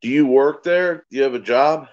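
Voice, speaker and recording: A man's voice: mid-range voice, moderate pace, dry recording, highs cut off. A man on a livestream panel, calling in by video and asking the guest short, curious questions. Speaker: panel member. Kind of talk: casual livestream chat.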